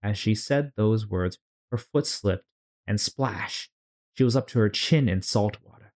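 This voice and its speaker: A man reading a children's story aloud as host, in an expressive, theatrical way. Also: on the low side, measured pace.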